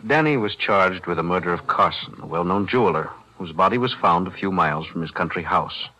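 A calm principled lawyer, deliberate and precise, lowish husky voice, bright tone, 1940s radio drama. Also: male voice, rough recording. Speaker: defense attorney.

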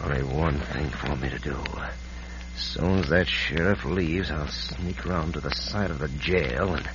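An actor playing a scheming, murderous frontier villain in a radio western, talking tersely with a drawl. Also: male voice, extremely low pitch, moderate pace. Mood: menacing, scheming. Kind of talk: 1950s radio western acting. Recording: muffled, noisy old radio recording.

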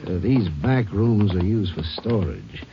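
A man's voice: low-pitched, sing-song, unhurried, gravelly, over music, full character voice. A man acting in a 1950s radio drama as a matter-of-fact small-town sheriff, terse and businesslike. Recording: muffled 1950s radio recording.

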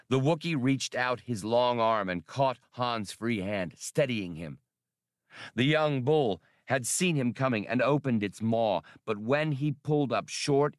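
A man reads adventure fiction as a dramatic narrator. His pace is slow and deliberate, his mid-pitched voice moves expressively up and down, and the sound is dry. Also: no room sound. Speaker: audiobook narrator.

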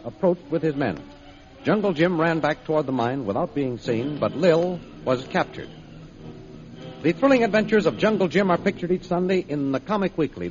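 A man announcing and narrating a 1930s radio serial from a script in a dramatic, declamatory way. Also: fairly high pitch. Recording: muffled old radio recording. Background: music.

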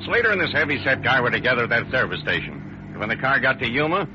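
An actor playing a terse, authoritative American police detective lieutenant in an old-time radio drama. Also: male voice, medium pitch, very animated, quick pace, lightly acted. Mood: businesslike. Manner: terse, commanding, colloquial. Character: brisk, authoritative male Los Angeles police detective lieutenant. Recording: muffled, noisy old radio recording.

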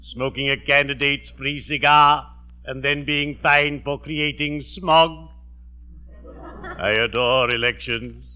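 An actor playing a genial undertaker with a solemn delivery, formal and morbidly courteous, in 1940s radio comedy style. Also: male voice, medium pitch, fairly even intonation, slow pace, smooth, close to an everyday voice. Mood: wry, theatrical. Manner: formal, flowery, lugubriously courteous. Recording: dull old radio recording.

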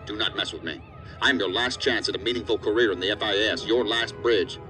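A man's voice: on the low side, fairly quick, over music, lightly acted. A man playing an angry, threatening assistant director in a science fiction audio drama, stern and blunt.

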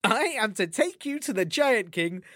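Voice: medium pitch, lively intonation, measured pace, fairly bright tone, no room sound, studio quality, pitched above the performer's own voice. A storyteller voicing Loki, a sly, mischievous male trickster god who coaxes and schemes with amused persuasion. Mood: persuasive.

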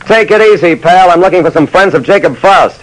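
A man's voice: high voice, fairly quick, natural voice, rough recording. An actor playing a wry, slangy detective who narrates his case in a clipped old-time radio style. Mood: firm. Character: dry, soft-hearted male detective telling his case. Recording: vintage radio broadcast.

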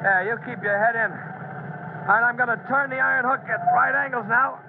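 A young rookie fireman, with a very high voice and a dutiful, earnest manner. It is old radio serial acting, heard through a thin, noisy recording. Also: steady tone, normal speed, gravelly, phone-quality sound. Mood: concentrated, determined.

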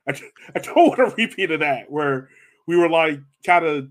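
A man's voice: on the high side, moderate pace. A man hosting a soccer fan podcast in a casual, conversational style. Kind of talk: casual chat.

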